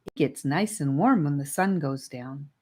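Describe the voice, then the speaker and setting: A woman's voice: deep voice, animated, unhurried, warm tone. A woman voicing a friendly, proud boy guide from a fantasy world in a children's audiobook. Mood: surprised, amused.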